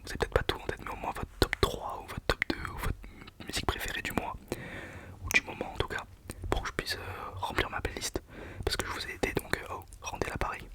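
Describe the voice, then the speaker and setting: A young man whispering a casual, self-mocking countdown of his favourite songs for his channel. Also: fast talker. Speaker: channel host. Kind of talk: whispered ASMR music countdown.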